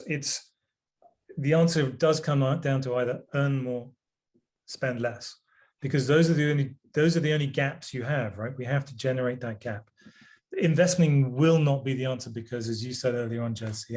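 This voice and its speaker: A man answering interview questions as a guest author, measured and plain-spoken with some hesitant restarts. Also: mid-range voice, a little mellow.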